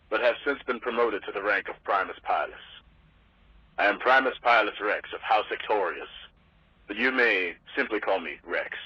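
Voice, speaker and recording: An actor playing a solemn, formal wasteland soldier with Roman pomp, declaiming through a voicemail filter in an audio drama. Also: male voice, fairly low pitch, breathy edge, no echo. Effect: voicemail telephone filter. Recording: dull, muddy voicemail phone recording.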